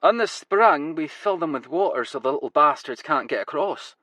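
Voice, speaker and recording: A man voicing an excitable older grower with a raspy Glasgow accent, eager and proud of his farm. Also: a little high, normal speed, dry recording, voice pushed up. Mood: grim. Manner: eager, wild-eyed, proud.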